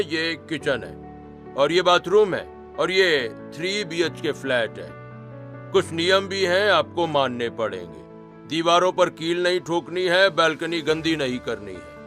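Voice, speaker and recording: A stern, rule-bound middle-aged secretary in a story drama, acted by a man. The voice sits high for a man and has a forceful, bright edge. Music plays faintly behind the scolding. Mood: instructive, firm. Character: stern, moralizing middle-aged male housing society secretary. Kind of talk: acted Hindi story dialogue.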